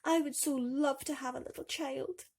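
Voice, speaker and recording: A woman voicing a gentle, earnest wife who longs for a child, in a bedtime storybook. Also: high voice, fairly even intonation, normal speed, voice pushed up. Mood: pleading.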